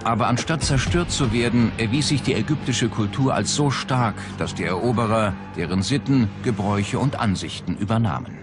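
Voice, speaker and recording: A man's voice: on the low side, moderate pace, hardly any pauses. A man reading a scripted documentary narration. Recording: music bed under the voice. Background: loud background music.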